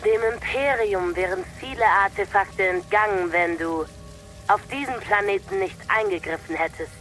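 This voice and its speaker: A woman's voice: medium pitch, normal speed, a bit bright, faint music behind, close to an everyday voice, rough recording. An actress playing a cold, arrogant female Inquisitor in a German audio drama, taunting and condescending. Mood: cold, smug.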